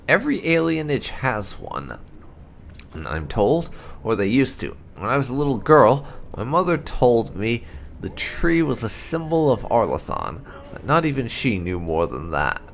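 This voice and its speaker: A man reading fantasy lore aloud as dramatic narration, in a deliberate storyteller's delivery. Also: medium pitch, very animated, vocal fry, slight background noise. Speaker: gameplay channel host. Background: music.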